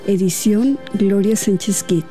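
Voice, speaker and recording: A woman reading a formal radio news sign-off in a measured way. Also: medium pitch, over music. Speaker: news announcer.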